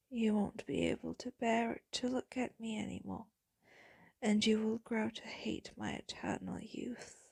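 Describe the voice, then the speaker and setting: An ageless female vampire, acted by a woman, murmurs tenderly to a beloved in an ASMR roleplay. The voice is mostly whispered and very breathy, drifting slowly with melancholy devotion. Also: medium pitch, no room sound, full character voice. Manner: slow, intimate, tender, breathy delivery.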